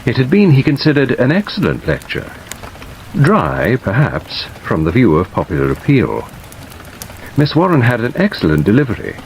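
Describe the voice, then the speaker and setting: A man narrates a literary audiobook in a medium-pitched voice. His intonation is lively and varied, and a gentle bed of rain runs behind the reading. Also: moderate pace, a little hiss or hum, poor audio. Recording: steady rain sound over the reading.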